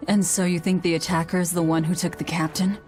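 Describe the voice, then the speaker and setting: Woman doing a grim young game heroine, kinda low voice, bossy and cold, short lines, music underneath. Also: little pitch movement, a little fast, darkish tone, natural voice. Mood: stern, terse. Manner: terse, stern, cool. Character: grim, determined young woman bent on killing, commanding and blunt. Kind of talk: English video game voice acting.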